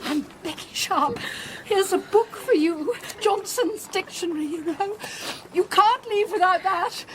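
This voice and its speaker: A woman's voice: very high voice, a little slow. A woman playing a fussy, kindly schoolmistress in a period radio drama, calling out in a breathless, flustered way. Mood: urgent, tearful. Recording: noisy outdoor scene with horse and carriage.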